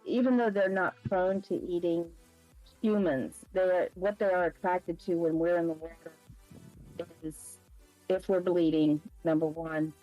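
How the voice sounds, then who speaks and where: A woman's voice: on the low side, warm tone, low-quality recording. A woman co-hosting a livestream, talking casually on an online group call. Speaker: livestream show co-host. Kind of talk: casual chat. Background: music.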